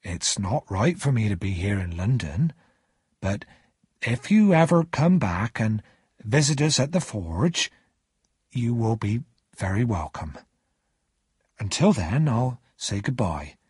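A man voicing a humble, awkward, kindly working-class blacksmith in a storybook, speaking slowly and deferentially. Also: fairly low pitch, big pitch swings, breathy edge, dry recording, studio quality, heavily acted. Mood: solemn, humble. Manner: slow, deferential, halting.

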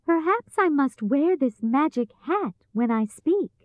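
A woman's voice: high-pitched, expressive, measured pace, a little mellow, dry recording, highs cut off, pitched above the performer's own voice. A woman voicing a brave, earnest young girl in a storybook, with an emphatic delivery. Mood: wondering.

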